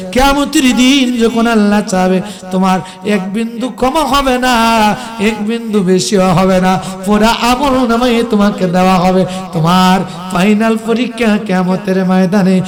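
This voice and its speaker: A man delivering an impassioned Islamic sermon, telling it dramatically and emphatically, with melodic, chant-like phrasing. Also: high voice. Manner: emphatic, slow, breaks into melodic chant and drawn-out cries. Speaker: Islamic preacher.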